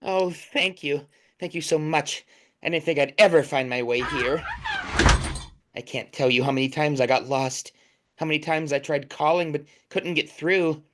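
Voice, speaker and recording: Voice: medium pitch, normal speed, a bit bright, lightly acted. An actor playing a self-absorbed, fussy visitor in a comic audio play, talking in a wordy, complaining way. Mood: relieved, complaining.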